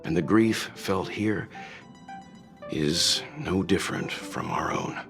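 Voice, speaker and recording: Very deep-voiced, wise fatherly mentor. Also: wildly swooping pitch, creaky, exaggerated voice. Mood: calm, fatherly. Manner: measured, philosophical. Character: calm, wise, fatherly older gentleman mentor. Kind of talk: video game voice acting. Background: game music.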